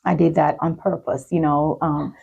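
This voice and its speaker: A woman speaking as the host of a talk show and podcast, with a husky voice. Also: deep voice, little pitch movement, normal speed, mellow, highs cut off. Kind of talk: scripted intro and sponsor reads, then supportive interviewing.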